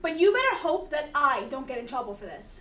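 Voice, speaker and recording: A woman's voice: high voice, sing-song, fast, fairly bright tone. A young woman playing an exasperated, indignant dorm resident assistant nagging in a student stage skit. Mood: exasperated, scolding. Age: young adult. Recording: dull, echoey room from far off.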